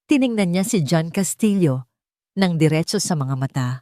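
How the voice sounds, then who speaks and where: A Filipino woman narrates an audio drama story in a fairly low voice. Her delivery is scripted and dramatic, built for storytelling. The recording is dry, with very little room sound. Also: animated, normal speed, mellow, no echo.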